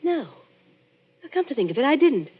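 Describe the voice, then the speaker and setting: A woman's voice: sits high, sing-song, fairly quick. An actress playing a nervous young woman witness in a 1940s radio drama, anxious and earnest. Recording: muffled radio broadcast in an echoing studio.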